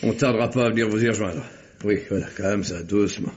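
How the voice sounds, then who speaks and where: An actor playing a shrewd, self-assured police detective in a French radio mystery, speaking courteously with deliberate phrasing. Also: male voice, on the low side, a little mellow, slight background noise, lightly acted. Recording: dull old radio drama recording.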